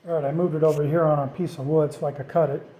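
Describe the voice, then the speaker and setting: Male DIY vlogger, middle-aged, slightly high pitch, very mellow tone, casual and self-deprecating tutorial talk. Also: flat delivery, quick pace, poor audio. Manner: informal, self-deprecating, thinking aloud. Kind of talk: casual hands-on tutorial narration.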